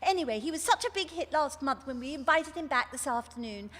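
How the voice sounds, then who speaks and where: A woman's voice: high voice, animated, a little fast, a bit bright. A woman playing a naive, genteel older hostess of a retirement community in a live radio comedy. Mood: gracious, gushing. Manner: gushing, genteel. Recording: reverberant hall stage recording.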